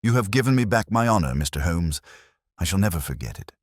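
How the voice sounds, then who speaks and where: A man plays an older titled gentleman in an audiobook mystery. He is dignified, earnest and grateful, with a low voice and lively intonation. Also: quick pace, no room sound, clean studio sound. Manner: formal, earnest.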